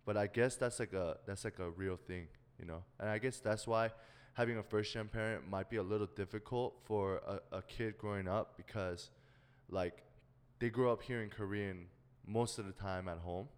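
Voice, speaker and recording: Young male co-host, low clear voice, slangy filler-heavy chat; long room reverb. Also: fairly even intonation, moderate pace, few pauses. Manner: slangy, filler-heavy, says "dude" and "you know what I mean". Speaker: podcast co-host. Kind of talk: casual podcast chat.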